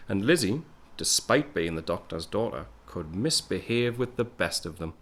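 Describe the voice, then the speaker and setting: A man's voice: low voice, lively intonation, moderate pace, a bit bright, heavily acted. An actor playing a wistful young man home from the war, narrating an audio play as a storyteller. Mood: fond. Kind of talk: scripted first-person narration in an audio play.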